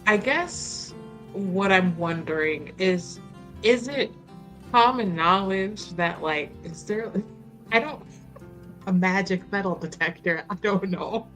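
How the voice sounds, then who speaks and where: A woman's voice: mid-range voice, takes it slowly, a bit bright, soft background music. A woman playing a tabletop roleplaying game, chatting casually and thinking aloud in a slangy, wry way.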